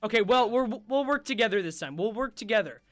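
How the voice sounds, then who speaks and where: A young man hosting a gaming livestream, rambling with lots of fillers and going hoarse. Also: high-pitched, expressive, fast, fairly bright tone. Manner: rambling, filler-heavy, self-interrupting. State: losing his voice. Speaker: host. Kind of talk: casual livestream chat while gaming.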